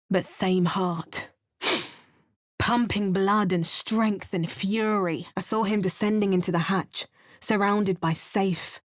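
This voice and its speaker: A woman's voice: mid-range voice, close to an everyday voice. A woman playing a wry English adventurer heroine. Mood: brooding. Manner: dry, wry remarks; chants spell words in a lively, sing-song way. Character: female adventurer hero, wry and hardened. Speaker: player character. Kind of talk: video game voice acting.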